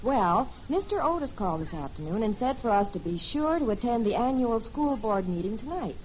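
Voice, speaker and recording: A woman's voice: on the high side, sing-song, fairly quick, darkish tone. An actress playing an affectionate, chatty middle-aged wife and mother in a 1950s radio family comedy. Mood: cheerful. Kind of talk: 1950s radio sitcom acting. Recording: muffled, noisy vintage radio recording.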